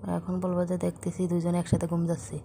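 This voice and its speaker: A woman's voice: on the low side, completely flat delivery, fast talker, vocal fry, dark tone. A woman with a Bangladeshi accent in a casual, intimate phone chat, teasing in a languid way. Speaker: girlfriend on a phone call. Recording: noisy, dull phone call recording.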